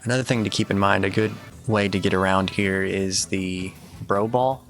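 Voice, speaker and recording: A man giving casual, matter-of-fact video game walkthrough commentary in a low-key instructional way. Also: steady tone, moderate pace, a bit bright. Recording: voice over game music. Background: video game music.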